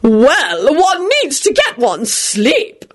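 Woman doing a fussy, high-voiced grand grandmother. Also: sing-song, takes it slowly, exaggerated voice. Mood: disapproving. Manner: fussing, grand. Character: fussy, dramatic aristocratic grandmother.

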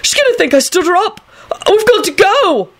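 Lady doing an excitable young guy, cheeky, lovestruck, blurts stuff out, pitch all over, storybook. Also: a little fast, heavily acted. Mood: desperate. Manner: gushing, impulsive, blurting.

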